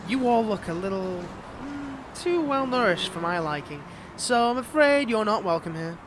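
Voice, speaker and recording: Male villain, played by an actor for romantic audio drama: scorned ex, mocking and seductive, teasing drawn-out delivery, clearly noisy outdoor backdrop. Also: high voice, animated. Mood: smug menace. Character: flirtatious, taunting male villain, a bitter scorned ex-lover. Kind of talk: dramatic audio roleplay acting addressed to listener.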